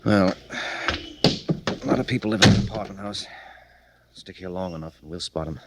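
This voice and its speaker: An actor playing a brisk, commanding police captain in a 1940s radio crime drama, clipped and authoritative. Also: male voice, sits low. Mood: businesslike. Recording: faintly noisy 1940s radio recording.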